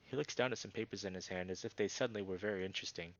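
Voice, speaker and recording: A man's voice: low voice, very fast, highs cut off. A man reading scripted story narration from a visual novel. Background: background music.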